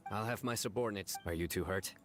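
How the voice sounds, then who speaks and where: Game enforcer, male actor: serious, measured, commanding, lowish pitch. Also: over loud music, close to an everyday voice. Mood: calm, official. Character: stern, composed male law officer commanding subordinates. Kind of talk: video game voice acting.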